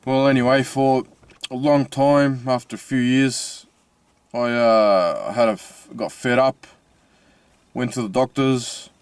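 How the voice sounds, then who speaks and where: An Australian man with a slightly mixed accent from his Italian family talks slowly and haltingly, with lots of fillers and restarts. His voice is smooth and clear at a middle pitch. He records casually from inside his car. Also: fairly even intonation, no echo. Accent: Australian with Italian-family influence. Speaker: vlogger. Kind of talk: casual personal vlog.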